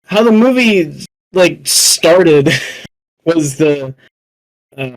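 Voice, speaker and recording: A man chatting casually as a podcast panelist, excitable and slangy, with profanity thrown in. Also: on the high side, very slow, no room sound, rough recording. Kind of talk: casual chat.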